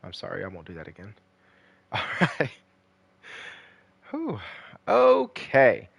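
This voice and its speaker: Hoarse man rambling on a gaming stream. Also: medium pitch, wildly swooping pitch, takes it slowly. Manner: rambling, many "uh" fillers; chatty, greets viewers by name. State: hoarse, losing his voice. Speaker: gaming livestream host. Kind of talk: casual livestream commentary.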